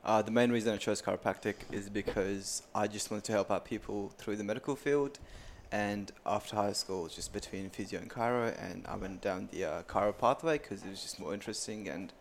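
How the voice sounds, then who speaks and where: A young man from Pakistan chats as a student guest. His voice is somewhat low, and faint noise and ambience sit behind it. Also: brisk, hardly any pauses. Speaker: chiropractic student, interview guest. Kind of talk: casual interview answers. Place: radio studio.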